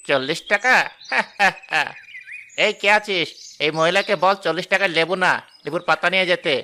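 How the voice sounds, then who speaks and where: A man's voice: sits high, unhurried, bright, forceful tone, full character voice. A man voicing a greedy adult male shopkeeper in a cartoon, harsh to the poor and fawning to the rich. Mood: scornful. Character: greedy rude adult male lemon seller. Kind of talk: cartoon voice acting.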